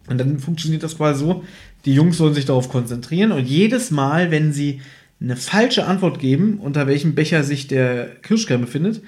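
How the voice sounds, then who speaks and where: A man's voice: a little high, darkish tone. A man chatting casually as a podcast host, retelling and critiquing an audio drama in an informal, opinionated, teasing way.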